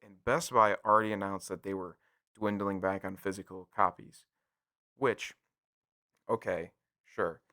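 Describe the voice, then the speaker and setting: Forceful, bright-voiced young man, fairly low. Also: fairly even intonation, unhurried, pauses often. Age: young adult. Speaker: podcast co-host. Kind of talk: casual gaming podcast chat.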